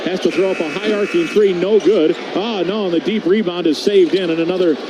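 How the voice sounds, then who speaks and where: A man's voice: high voice, brisk, crowd noise. A man calling live basketball play-by-play on the radio, over arena noise. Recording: noisy broadcast with arena ambience.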